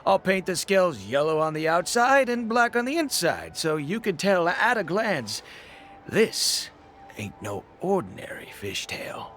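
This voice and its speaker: An actor playing a cheery, sly fish seller in a video game, with showy hawker's patter and music behind. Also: male voice, high voice, very animated, measured pace, fairly bright tone, exaggerated voice. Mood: jovial, sly. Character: cheery, sly amateur fishmonger man hawking seafood. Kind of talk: video game character acting.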